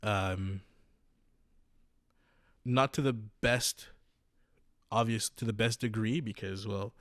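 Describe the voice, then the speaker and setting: A young man in his twenties, a podcast host, telling his own story in a casual, halting way with many restarts and fillers. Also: on the low side, a bit bright, dry recording, clean studio sound.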